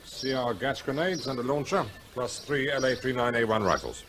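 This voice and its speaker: An actor playing a calm police officer in charge, speaking with dry, matter-of-fact authority. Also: male voice, medium pitch, normal speed, slight background noise, close to an everyday voice.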